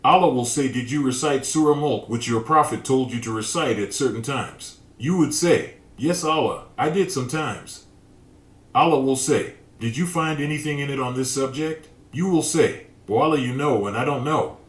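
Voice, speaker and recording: A man giving a calm, measured scripted religious narration, reading out an imagined dialogue. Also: mid-range voice, echoey room.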